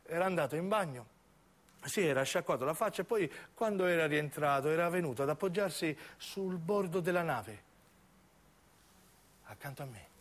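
A man's voice: fairly high pitch, lively intonation, normal speed. A man with a southern Italian accent narrating a first-person story as a stage actor, in lyrical, repeating phrases.

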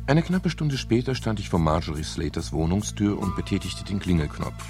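Wry male private eye, gravelly and animated, gallant, ironic, radio crime drama. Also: sits low, moderate pace, over music. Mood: dry narrating. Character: wry male private detective, former Scotland Yard officer, narrating. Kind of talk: 1970s German crime radio drama, narration and dialogue.

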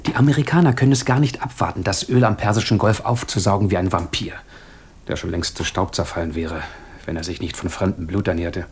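A man's voice: low-pitched, a little fast, darkish tone, breathy edge. A man playing a musing, teasing intellectual in an intimate radio drama dialogue, pensive and playful by turns. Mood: pensive, concerned.

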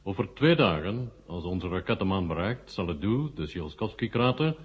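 A man acting an assured, stern Russian marshal in a science-fiction radio drama, measured and formal. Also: low voice, lively intonation, husky. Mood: stern, confident. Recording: muffled old radio recording.

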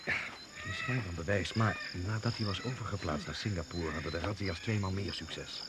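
A man's voice: low voice, normal speed, close to an everyday voice. An actor playing a sceptical, worldly British secret service man in a Dutch radio drama, giving a terse, confiding briefing. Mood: matter-of-fact, wry. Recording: noisy old radio play recording.

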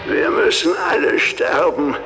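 An actor playing a mournful fairground singer in a radio play, declaiming in drawn-out phrases. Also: male voice, a little high, natural voice. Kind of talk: German-language radio play acting. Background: music.

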